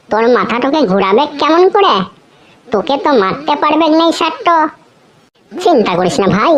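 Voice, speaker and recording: Woman as bluffing cartoon schoolkid, very high voice, cheeky, slangy. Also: natural voice. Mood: teasing. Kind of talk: comedy cartoon dubbing.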